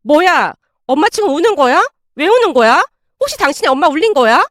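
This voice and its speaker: A woman narrator puts on a selfish young wife from a domestic story. She snaps and whines defiantly, in a high, irritable voice with a dry, close sound. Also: sing-song, a little fast, fairly bright tone, no room sound. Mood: startled, accusing. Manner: snappy, whiny protest.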